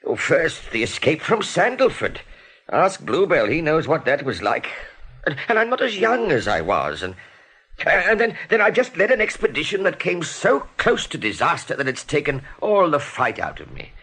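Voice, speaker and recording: An actor playing a weary, dignified older rabbit officer in a radio play, formal and earnest, with some stammering. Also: male voice, on the high side, wildly swooping pitch, moderate pace, fairly bright tone, breathy edge, heavily acted. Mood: flustered, weary.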